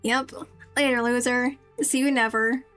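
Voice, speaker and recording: A woman's voice: on the high side, fairly even intonation, measured pace, fairly bright tone. A woman streaming a game, giving casual, chatty, playfully mocking commentary as she plays. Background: game music.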